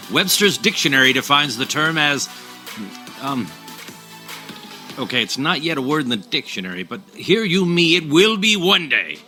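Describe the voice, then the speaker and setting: A man's voice: on the high side, sharp tone. A man announcing a scripted podcast intro with grandiose, playfully theatrical delivery. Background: music.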